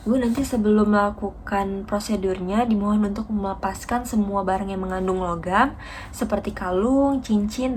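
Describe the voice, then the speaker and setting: A woman's voice: medium pitch, slight background noise. A young woman giving a polite, methodical, formal step-by-step demonstration as a student. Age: young adult. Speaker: dental student demonstrating a radiography procedure. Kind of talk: instructional skills demonstration, step-by-step explanation.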